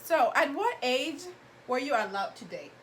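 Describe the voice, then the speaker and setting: A young woman from Ghana hosting a vlog, chatting casually and telling stories in a slangy, animated way. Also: high-pitched, normal speed, slight background noise. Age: young adult. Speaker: vlog host asking questions. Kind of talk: casual chat with friends, storytelling.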